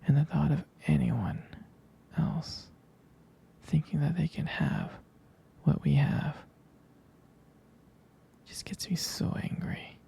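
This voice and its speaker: A man playing a jealous, protective and doting boyfriend in an intimate roleplay ASMR, speaking softly and hesitantly in whispers. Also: on the high side, animated, takes it slowly, vocal fry, long pauses, exaggerated voice. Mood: jealous, possessive.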